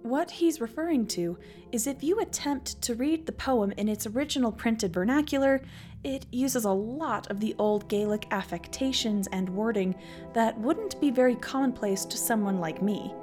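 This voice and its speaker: A woman host tells stories and reads verse in a measured, scripted way. Her voice sits a little high, and music runs clearly behind her. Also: darkish tone. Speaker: podcast host. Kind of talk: scripted narration and poetry reading.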